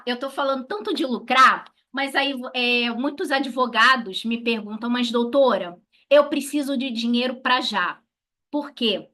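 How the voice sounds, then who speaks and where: A woman's voice: fairly high pitch, pushed and bright. A Brazilian woman giving an instructional talk as a lawyer to colleagues, didactic, posing questions and answering them herself. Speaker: lawyer teaching a class.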